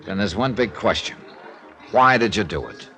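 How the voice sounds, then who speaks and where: Tough sarcastic radio detective type, lowish voice, music behind him. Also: male voice, lively intonation, moderate pace, fairly bright tone. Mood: hard. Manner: tough, terse, sardonic. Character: hard-boiled male insurance investigator, wisecracking. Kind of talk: 1950s radio drama acting. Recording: radio drama with music underneath.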